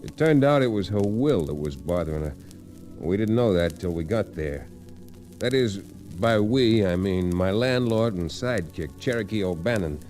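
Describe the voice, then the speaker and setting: A very deep, mellow frontier lawyer in a vintage radio western. He is steady and kindly, with a folksy storyteller's ease. Music plays faintly behind him. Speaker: narrator and lead. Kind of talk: old-time radio western narration and acting.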